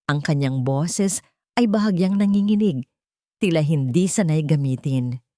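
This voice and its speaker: A woman's voice: deep voice, lively intonation, unhurried, warm tone, dry recording, studio quality. A woman reading a scripted romance story as a dramatic narrator.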